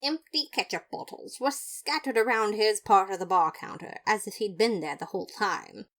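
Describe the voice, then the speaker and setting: A woman with a medium-pitched voice narrates an audio story. She delivers first-person detective narration in a dramatic, mock-serious way, playing up the comic noir style. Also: lively intonation, moderate pace, a bit bright. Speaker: fan fiction narrator.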